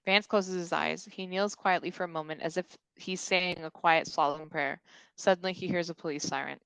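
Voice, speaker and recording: A woman's voice: lowish voice, fairly even intonation, normal speed, gravelly, a bit bright, clean tone, no echo, poor audio. A woman reading stage directions as the narrator of a scripted comedy table read.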